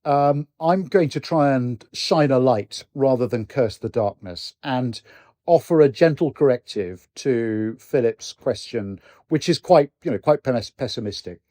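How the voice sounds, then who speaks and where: A man's voice: mid-range voice, measured pace, warm tone, no echo, clean studio sound. An older man whose first language is Norwegian, co-hosting a podcast in casual chat, hesitant with many restarts. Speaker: co-host, retired general.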